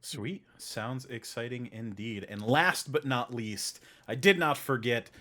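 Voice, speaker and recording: A man's voice: medium pitch, slow pace, sharp tone. A man hosting a casual podcast, talking in an informal, opinionated way. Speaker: podcast host.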